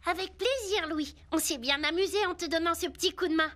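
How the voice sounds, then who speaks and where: A woman's voice: extremely high pitch, a little fast, pushed and bright. A woman voicing a cheerful, eager little wooden toy boy in a French children's cartoon, with a childlike, encouraging delivery. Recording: music behind the voice.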